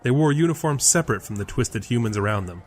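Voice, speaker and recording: A man's voice: mid-range voice, lively intonation, fast talker, a little mellow, slight background noise. A man reading scripted narration for a fantasy audio drama.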